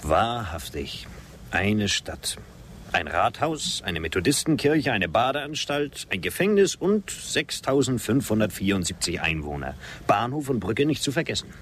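A wistful traveling poet in a radio play. His voice sits fairly low and he speaks slowly, in a measured, reflective way with gentle irony. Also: big pitch swings, a little hiss or hum. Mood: wry. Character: wistful former poet and cabaret singer traveling west. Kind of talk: German radio drama acting.

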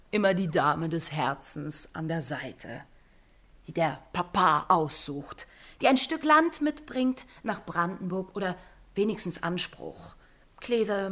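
A woman acting a sardonic chronicler of a dynasty in a German radio play, narrating in a theatrical, ironic way. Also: medium pitch. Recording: music behind the voice.

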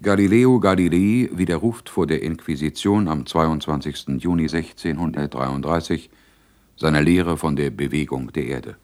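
An actor playing a solemn narrator who formally announces scenes in a radio play. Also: male voice, extremely low pitch, normal speed, a little mellow.

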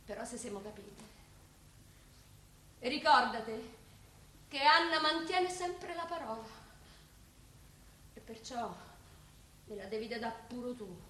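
A woman's voice: sits high, fairly bright tone. A woman acting in a Roman-dialect radio play as a devout, plain-spoken, stubborn working-class woman. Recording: echoey, distant, faint background noise.

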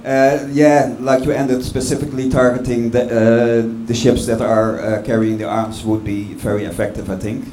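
A man on a panel answering audience questions with a hesitant, measured delivery full of fillers. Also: mid-range voice, level tone, warm tone. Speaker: panel speaker. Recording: echoey room with background noise.

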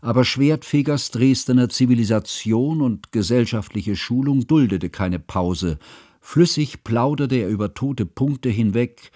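An actor playing a bookish chronicler, narrating a literary radio play in a measured, formal manner. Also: male voice, mid-range voice, mellow, no echo, studio quality, natural voice. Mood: measured, reflective. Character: measured, bookish male chronicler telling of a friend. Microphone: close studio microphone.

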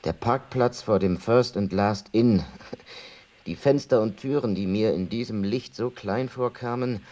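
A man's voice: on the low side, measured pace, warm tone, lightly acted. An actor playing a reflective man in a literary radio play monologue, musing intimately over his childhood. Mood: wistful, musing.

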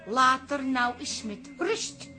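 An actress playing a friendly, placating adult daughter in a café radio drama. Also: female voice, high voice, slow, sharp tone, faint music behind, full character voice. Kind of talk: Dutch radio drama acting.

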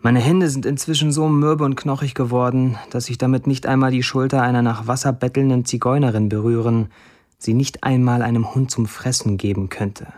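A man's voice: mid-range voice, fairly even intonation, fairly quick, darkish tone, natural voice. An actor playing a wry man of twenty-nine who reads his blunt first-person posts in a dry radio drama monologue. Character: wry 29-year-old Syrian man narrating his blunt online posts.

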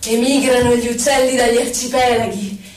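A woman's voice: on the high side, fairly even intonation, normal speed, slight background noise. Echoey, distant woman reciting poetry.

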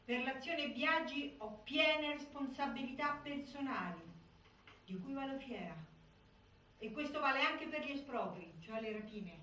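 A woman's voice: high-pitched, lively intonation, a little slow, bright, forceful tone, exaggerated voice. An actress playing a bitter, delusional imprisoned militant woman in an intense, declamatory stage monologue. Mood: proud, defiant. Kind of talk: theatrical monologue. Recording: echoey stage from far off, faint noise.

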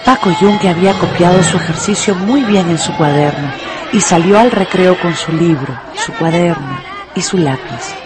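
A woman with a Peruvian accent narrating a scripted radio story in a dramatic style. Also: fairly low pitch. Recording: music and schoolyard crowd behind.

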